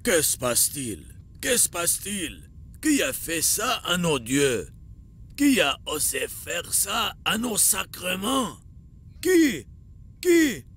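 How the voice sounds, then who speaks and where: A man's voice: high voice, huge pitch swings, takes it slowly, a bit bright, slightly rough, heavily acted. A man playing an outraged, authoritarian master of an idol-worshipping town in a religious cartoon, indignant and declamatory. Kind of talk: dubbed religious cartoon acting.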